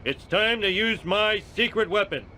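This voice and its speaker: A man's voice: high voice, expressive, moderate pace, pushed and bright, a little hiss or hum. A man voicing a dim, brash, selfish middle-aged dad in a cartoon game, blunt and whiny. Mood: indignant. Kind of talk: cartoon game cutscene acting.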